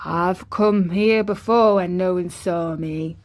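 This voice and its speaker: Woman voicing a crabbed old Yorkshire gardener, slow and dry. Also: on the low side, a little mellow. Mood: dry, grumpy. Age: older. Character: crabbed old Yorkshire gardener, gruff, stubborn, secretly tender.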